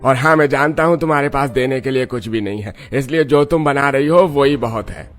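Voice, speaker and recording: A man's voice: mid-range voice, brisk, soft background music, close to an everyday voice. A man voicing a kindly, obliging middle-aged village headman in a cartoon, reassuring and deferential. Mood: kindly, coaxing.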